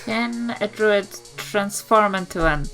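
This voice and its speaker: A woman's voice: mid-range voice, slow, gravelly, fairly bright tone, faint music behind, poor audio. A woman with a New Zealand accent chatting casually as a player in a tabletop roleplaying podcast, questioning and sceptical. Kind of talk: casual improvised game chat.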